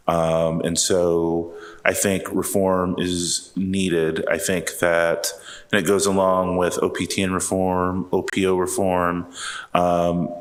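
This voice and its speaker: A man speaking on a conference panel, measured and hesitant with frequent fillers. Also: deep voice, fairly bright tone. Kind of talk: panel discussion remarks. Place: conference hall. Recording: reverberant conference hall.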